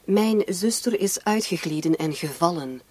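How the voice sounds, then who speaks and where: An actress playing a bitter, defiant young woman in a radio play, speaking curtly and sarcastically with a husky voice. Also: on the low side, expressive, measured pace, mellow, dry recording. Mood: defensive. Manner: curt, sarcastic.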